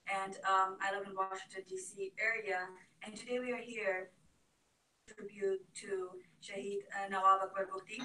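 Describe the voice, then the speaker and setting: Female event host from Afghanistan, sharp bright tone, hesitant formal speech, echoey room pickup. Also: mid-range voice, steady tone, moderate pace. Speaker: event host introducing speakers. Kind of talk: formal introductory speech, partly read out. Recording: echoey room, distant microphone.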